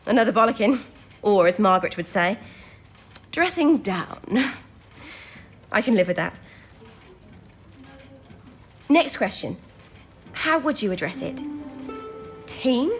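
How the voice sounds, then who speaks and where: An actress playing a young wartime codebreaker who thinks aloud, eager and self-doubting but daring, in an audio drama. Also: female voice, medium pitch, very animated, slow, long pauses, faint music behind. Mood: wry, deliberating.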